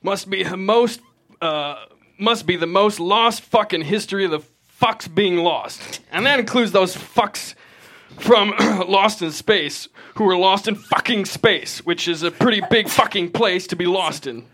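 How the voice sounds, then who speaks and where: A man acts out a foul-mouthed car dealer and Vietnam vet in his fifties. His voice sits high for a man, and he rants in a belligerent, rambling way, as in a read comedy script. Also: measured pace, fairly bright tone. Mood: mocking bluster. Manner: belligerent, rambling, stumbles over lines.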